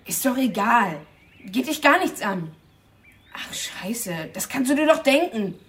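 A high, youthful voice carries a sullen teenage schoolboy in a radio crime drama. He is defiant and slangy, pushing back at his parent. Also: big pitch swings, measured pace, a bit bright, full character voice. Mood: sullen, defensive. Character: sullen, angry teenage schoolboy, son of a troubled mother.

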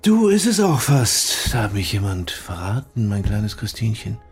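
A low-voiced, falsely tender gangster. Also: male voice, very animated, normal speed, slightly rough. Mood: quietly menacing. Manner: silky, quietly threatening. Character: menacing male gangster boss, falsely tender. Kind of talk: German radio drama acting.

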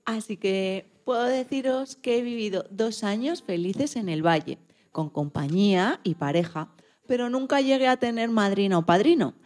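A woman's voice: medium pitch, expressive, normal speed, darkish tone. A woman hosting a radio program, reading from a script.

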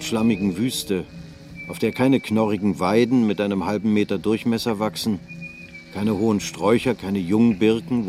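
A man narrating a literary radio drama in a measured, unhurried way. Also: lowish voice, a little mellow.

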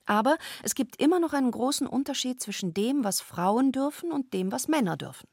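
A woman explaining things as an expert commentator, in a measured way, recorded close up in a dry studio. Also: high voice, lively intonation, vocal fry.

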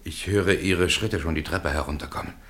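An actor playing a troubled, hesitant man in a radio play, speaking in a halting, solemn, stylised way. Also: low voice, a bit bright, slightly rough. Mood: resigned. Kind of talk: classic radio play acting.

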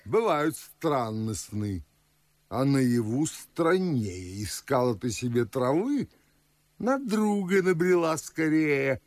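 A man's voice: mid-range voice, lively intonation, takes it slowly, no room sound. An actor playing a pompous, suspicious middle-aged father and master in a classic verse radio drama, grumbling and scolding theatrically. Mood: sardonic, grumbling.

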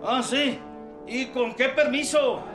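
An actor playing an angry, bossy Mexican hacienda enforcer in a radio drama, barking confrontationally. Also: male voice, very high-pitched, steady tone, takes it slowly. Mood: angry, challenging. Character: angry bossy male hacienda enforcer driving peasants away. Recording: echoey scene with loud music behind.

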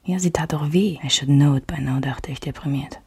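Radio drama narrator: candid, wistful woman, low mellow voice, intimate dry delivery, reflective. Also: brisk, breathy edge, dry recording, full character voice. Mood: hurt, reflective. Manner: reflective, alternates German with English phrases. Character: woman recalling a love triangle, wistful and candid. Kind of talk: intimate first-person scripted radio narration.

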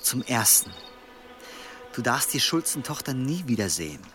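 A man's voice: mid-range voice, very animated, normal speed, a bit bright. A man playing a stern, righteous medieval monk in a German radio play, admonishing and interrogating. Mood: cold, commanding.

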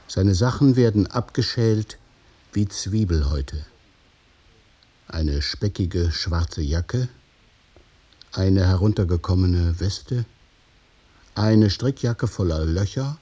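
A man narrating a scripted radio drama in the present tense, with deliberate, measured phrasing. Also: on the low side, mellow.

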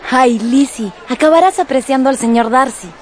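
A woman's voice: high-pitched, normal speed, a little hiss or hum, lightly acted. A woman playing a pert, knowing young sister who teases, in a radio drama. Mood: teasing.

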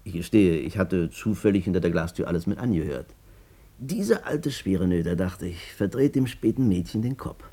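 A man's voice: low-pitched, expressive, moderate pace, dark tone. An actor playing a chatty, cocky Berlin businessman who tells of his amorous past in a colloquial, self-justifying way, in a 1950s German radio drama. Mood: confiding, wry.

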